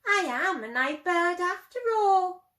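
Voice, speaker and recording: Woman voicing an excitable high-pitched baby boy owl. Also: slow pace, exaggerated voice. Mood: excited. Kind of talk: children's storybook read-aloud. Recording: roomy echo.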